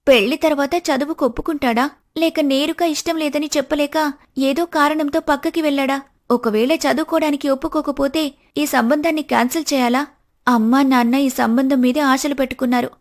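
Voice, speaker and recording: Woman as a studious young bride-to-be, creaky voice. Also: sits high, steady tone, brisk. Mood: anxious. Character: polite, studious young bride-to-be, hesitant and earnest. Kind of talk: dramatised family storytelling.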